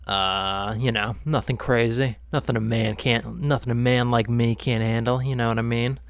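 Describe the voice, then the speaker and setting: A man's voice: mid-range voice, steady tone, moderate pace, gravelly, clear, resonant tone. A man streaming a video game, chatting casually and rambling with lots of fillers, stuffed up and nasal from a cold. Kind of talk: casual gaming stream chat.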